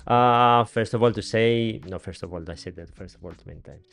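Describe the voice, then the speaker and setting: A man's voice: on the low side, a little fast, gravelly, a bit bright. A man in his thirties with a Greek accent, hosting a casual podcast interview in a small studio, chatty and laughing often. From Greece. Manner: chatty, laughs often, non-native grammar. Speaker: podcast host. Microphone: studio mic on boom arm, headphones.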